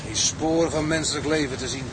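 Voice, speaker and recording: An actor playing a plain-spoken, hearty tugboat bosun in a Dutch radio drama. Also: male voice, a little high, brisk, close to an everyday voice. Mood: dreamy. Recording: clear outdoor sea and engine noise.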